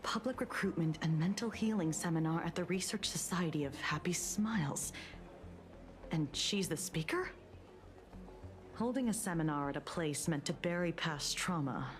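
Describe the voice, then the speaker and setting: A female police detective in a video game drama. She is composed and dryly teasing, with a slightly low voice. Music plays clearly behind her. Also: lively intonation, normal speed. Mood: pensive. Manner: dry, teasing, skeptical.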